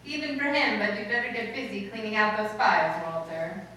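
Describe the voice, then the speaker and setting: A woman's voice: mid-range voice, brisk, pushed and bright, natural voice. A woman playing a wry, wisecracking English teacher with dry asides in an old-time radio comedy. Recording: very echoey, distant, noisy room recording.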